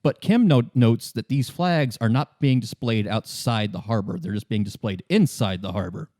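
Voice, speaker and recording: A man running a tabletop game as game master, improvising his narration in a casual, halting, good-humored way. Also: on the low side, dark tone, dry recording, studio quality. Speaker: game master, podcast host.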